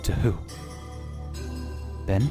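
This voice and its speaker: A man playing a paranoid, frightened young man in a creepypasta dramatic reading, telling his diary with anguished drama. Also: very slow. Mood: insistent. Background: music.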